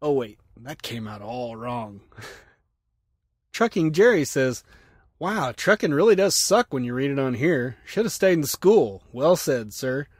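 A man's voice: mid-range voice, very animated, takes it slowly, breathy edge, no echo, studio quality. A man hosting a podcast, reading out listener comments and answering them with wry, self-mocking jokes. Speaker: podcast host.

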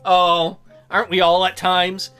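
Middle-aged man, joking game commentary, wisecracking, forceful bright voice, music behind. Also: high voice, completely flat delivery, unhurried, clear, resonant tone. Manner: crude, wisecracking, rambling. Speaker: let's play streamer.

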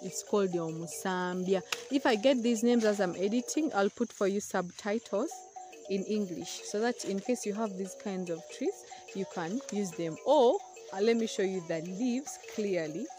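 A woman's voice: medium pitch, moderate pace, over music. Young woman vlogging outdoors. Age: young adult. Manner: chatty, explaining plants to viewers. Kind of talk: casual vlog walkthrough. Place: outdoors in a rural field. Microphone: phone camera, often held at arm's length.

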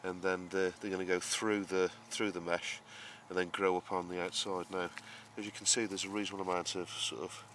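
Man, low-pitched voice, flat intonation, casual gardening explainer, halting unscripted delivery, noisy camera-mic recording. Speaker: gardening vlogger. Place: outdoor vegetable garden and greenhouse. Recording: clearly noisy outdoor ambience, uneven distance.

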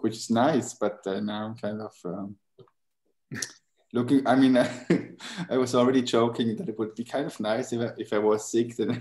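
Man, 30s, low-ish voice, hesitant designer on an echoey video call. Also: warm tone. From Switzerland. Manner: hesitant, many restarts and fillers. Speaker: book designer. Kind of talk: casual chat. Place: room with bookshelves. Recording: roomy video call audio.

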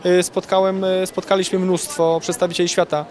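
A man's voice: sits high, level tone, fairly quick. A man reporting in an interview with a hesitant, stop-start delivery. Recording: noisy urban background.

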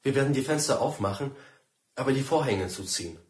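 An actor playing a cautious husband and father who keeps calm, in a radio drama. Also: mid-range voice, fairly quick, close to an everyday voice. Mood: hushed, wary. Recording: roomy, echoing radio play sound.

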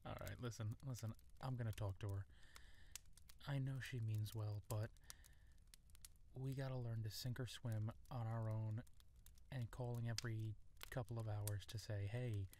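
A man acting as a gentle, affectionate young husband and new father in an intimate, one-sided audio roleplay, soft-spoken and tender. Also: lowish voice, steady tone, moderate pace, slight background noise, close to an everyday voice. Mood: firm, reassuring. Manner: tender, soft-spoken, playful baby talk at times.

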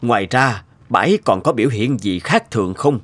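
A man voice-acting family men and bosses in an animated moral tale. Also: medium pitch, normal speed, lightly acted. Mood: concerned, businesslike.

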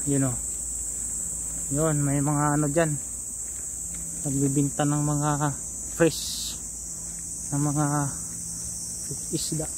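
Slow, even-toned Filipino man outdoors. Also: a little high, a little hiss or hum, poor audio. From Philippines. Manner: relaxed, pointing things out to viewers as he walks. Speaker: vlogger. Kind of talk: casual walking tour vlog. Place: seaside park path outdoors. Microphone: phone held at arm's length. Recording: outdoor ambience with insects.

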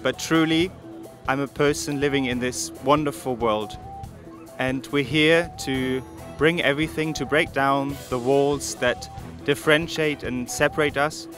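A man giving a deliberate, emphatic testimonial. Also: mid-range voice. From Germany. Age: 20s. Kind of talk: on-camera testimonial. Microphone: handheld reporter microphone.

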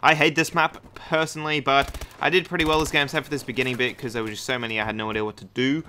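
A man's voice: medium pitch, flat delivery, fast, bright, forceful tone, a little hiss or hum. A young man giving casual, hyped, rambling commentary over his own gameplay. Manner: hyped, rambling, self-deprecating. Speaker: gaming YouTuber. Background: game gunfire.

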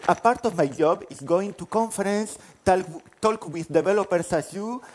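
A man's voice: high voice, a little mellow. A man in his forties from Spain with a Spanish accent, giving a conference talk on stage, self-deprecating, restarting phrases. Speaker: conference speaker. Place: large conference hall stage.

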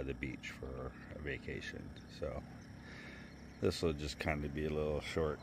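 Middle-aged male vlogger, slow, relaxed and rambling, very low voice. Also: background noise. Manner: relaxed, rambling, many fillers. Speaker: hiking vlogger. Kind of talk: casual vlog to camera. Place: outdoors by a lake, then at home indoors. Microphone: phone or camera at arm's length.

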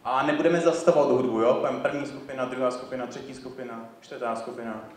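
A man's voice: medium pitch, fairly even intonation, fast talker, slight background noise. Echoey male dance teacher in a hall. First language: Czech. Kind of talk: giving instructions in a group dance rehearsal. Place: large theatre stage, recorded by a far camera. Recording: very echoey theatre hall from far off.

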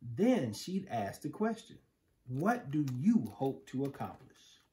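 A middle-aged American man reading a children's picture book aloud with measured, emphatic phrasing. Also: medium pitch, very animated, mellow. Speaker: storybook reader. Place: living room, indoors on a couch. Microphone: camera a few feet away.

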